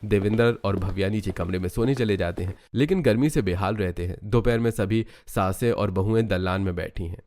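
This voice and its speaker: A man's voice: fairly low pitch, a little fast, few pauses, a little mellow. A man narrating a scripted cartoon story.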